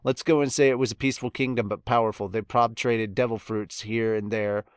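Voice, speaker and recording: A man's voice: fairly low pitch, steady tone, brisk, clear, resonant tone, no room sound. Casual male podcaster with a dry sound. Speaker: podcast host and reviewer. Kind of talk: casual chat, reading out and reacting to fan comments.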